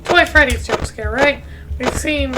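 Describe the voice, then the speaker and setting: A woman giving casual, halting gameplay commentary as a video host, often interrupting herself mid-thought. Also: high voice, very noisy. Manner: halting, rambling, self-interrupting.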